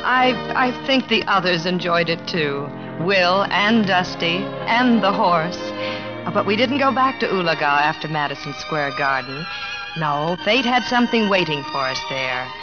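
Devoted young American bride: earnest, lively intonation, vintage radio drama with music and muffled sound. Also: female voice, on the low side, unhurried. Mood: wistful. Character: devoted young wife, earnest, gently questioning. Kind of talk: 1950s radio drama acting and narration. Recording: dull vintage radio recording.

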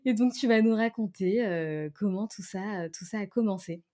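A woman's voice: mid-range voice, normal speed, dark tone. A woman hosting a podcast, friendly and chatty as she talks with a guest. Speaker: podcast host. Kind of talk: casual interview.

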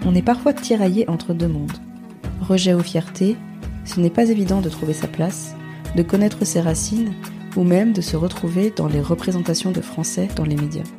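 A woman from France hosting a podcast, reading her scripted introduction in a measured way. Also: on the low side, music in the background. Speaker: podcast host.

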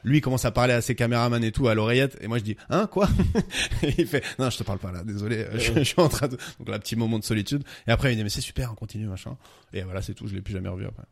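A man's voice: medium pitch, creaky. A man, a podcast guest, telling a funny anecdote in casual chat. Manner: fast, animated anecdote telling with laughs.

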